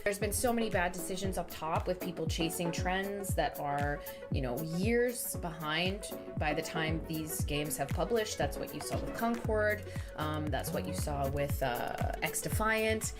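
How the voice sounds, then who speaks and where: A woman's voice: low-pitched, measured pace, over loud music. A woman streaming a game, ranting bluntly and swearing freely in casual talk, from New York. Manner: blunt, profane, animated rants. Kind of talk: casual gaming stream commentary.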